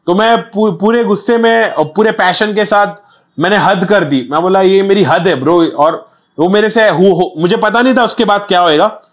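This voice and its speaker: Animated Mumbai rapper, high voice. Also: male voice, normal speed. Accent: Mumbai Hindi. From Mumbai, India. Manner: animated, repetitive, streetwise slang. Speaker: rapper guest. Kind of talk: casual storytelling interview. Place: small studio set with chairs.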